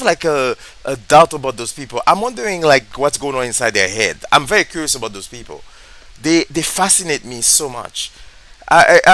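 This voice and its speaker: A man from Haiti, giving casual reaction commentary on politics, halting and thinking aloud as he searches for words. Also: a little high, bright, forceful tone, poor audio.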